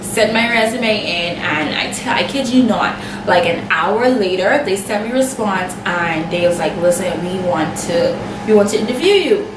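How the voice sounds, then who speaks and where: A woman's voice: mid-range voice, normal speed. Woman, young adult, Bahamian accent, chatty storyteller, vlog, echoey room, distant mic. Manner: chatty and animated, full of "like" and self-quoting. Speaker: makeup artist vlogger. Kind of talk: casual personal storytelling to camera. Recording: echoey room, off-mic, steady background noise.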